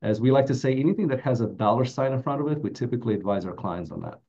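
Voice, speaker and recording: A middle-aged man with a mellow voice, giving a prepared webinar presentation as a financial advisor. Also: mid-range voice, racing, slight background noise. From Latvia. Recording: dull, muffled webinar audio.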